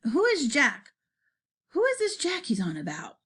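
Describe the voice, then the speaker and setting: A woman voicing a curious, plucky little girl in a children's storybook, plain-spoken with hushed asides. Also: high-pitched, huge pitch swings, unhurried, slightly rough, voice pushed up, heavily acted. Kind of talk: dramatized children's storybook reading.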